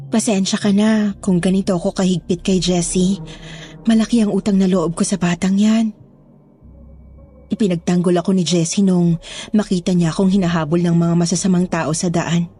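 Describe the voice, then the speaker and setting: Woman as a mellow, low-voiced stern nun. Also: breathy edge. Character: stern, protective, secretive nun at an orphanage. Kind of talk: audio horror story acting.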